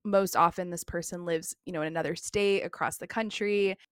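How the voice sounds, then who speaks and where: A woman's voice: on the low side, steady tone, brisk, clean tone, dry recording, studio quality. A woman who hosts a podcast, talking heartfelt in a casual conversation with many "you know" fillers. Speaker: podcast host.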